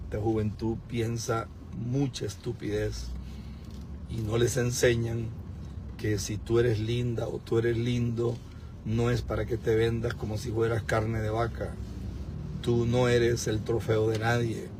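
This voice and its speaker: A man's voice: mid-range voice, level tone, a little slow. Man, 50s, livestream street preacher: informal, exhorting, moralizing, over audible vehicle noise. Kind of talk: informal preaching mixed with chat. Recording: noisy vehicle and outdoor background.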